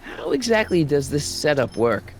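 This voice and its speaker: An actor voicing an exasperated, flippant teenage son with an American accent, in a hesitant, incredulous manner for a video game. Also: male voice, a little high, sing-song, moderate pace, darkish tone, soft background music.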